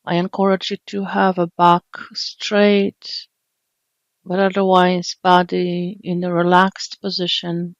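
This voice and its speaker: A woman guides a body scan meditation in a slow, gentle, soothing way, leaving long pauses between phrases. Her voice stays level with little rise and fall. The recording is dry and a little dull. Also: on the low side, monotone, no room sound. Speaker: meditation teacher.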